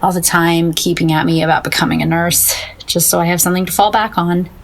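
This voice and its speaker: A woman's voice: lowish voice, flat delivery, fairly quick, vocal fry. A woman playing a self-doubting fine arts painter in an audio drama, wry, earnest and self-deprecating. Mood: earnest, melancholy. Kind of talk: naturalistic audio drama acting.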